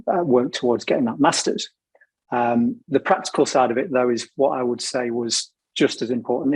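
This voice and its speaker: A man's voice: mid-range voice, breathy edge, no echo, low-quality recording. A man with a sore throat, talking casually and at length about his career as a panel guest. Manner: chatty, rambling storytelling.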